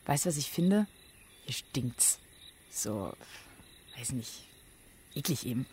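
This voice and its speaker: A woman's voice: a bass voice, animated, takes it slowly, a little mellow, slight background noise, heavily acted. A female performer playing a veteran's young daughter in a radio drama, asking blunt, childlike questions in a colloquial way. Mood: bored, blunt. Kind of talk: naturalistic radio drama dialogue.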